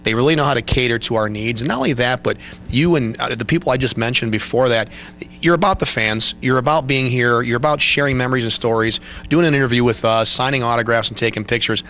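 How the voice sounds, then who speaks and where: A man's voice: mid-range voice, fast talker, sharp tone. A middle-aged man interviewing guests at a convention with a handheld mic in an indoor room, talking in an upbeat announcer manner. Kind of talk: event interview.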